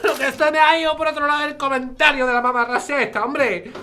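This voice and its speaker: A woman's voice: high-pitched, fairly even intonation, fast talker, sharp tone. A woman playing an old-fashioned, pious Andalusian grandmother in a family radio sitcom, scolding and melodramatic in a folksy Sevillian accent. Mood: indignant, nostalgic. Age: older. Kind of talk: audio drama family sitcom acting. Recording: clattering dishes and cutlery noise.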